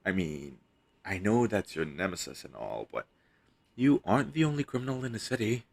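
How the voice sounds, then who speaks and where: A man's voice: lowish voice, big pitch swings, moderate pace, creaky, full character voice. A man playing a captured superhero posing as a hostage in an intimate audio roleplay, wry, teasing and flirtatious.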